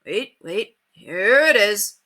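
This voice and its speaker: Woman playing an offhand storybook lord, bright forceful voice, blustering, distracted, breezy, lively intonation. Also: mid-range voice, slow pace, pitched above the performer's own voice. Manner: breezy, distracted, tags sentences with what and eh. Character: blustering, offhand upper-class husband, a lord. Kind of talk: storybook character voice read aloud.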